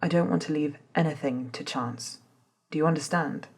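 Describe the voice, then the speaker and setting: A woman playing a composed, authoritative female doctor in a soft-spoken ASMR drama, speaking in a measured, formal, husky way. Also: low voice. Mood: grave, controlled. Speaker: voice actor in scripted ASMR roleplay.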